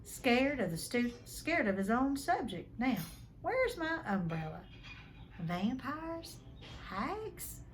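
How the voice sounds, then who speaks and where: A woman reads the part of a huge, hearty storybook man with homely, rough speech and a friendly temper. The recording is faintly noisy. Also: on the high side, very animated, slow pace, pitched above the performer's own voice. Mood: chatty, sympathetic.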